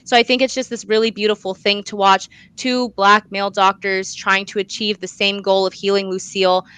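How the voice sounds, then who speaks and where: A young woman speaks in a bright, crisp voice, close and dry. She talks as a guest in an interview, explaining her own creative work. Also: mid-range voice, flat delivery, brisk, dry recording. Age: young adult. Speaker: guest, playwright.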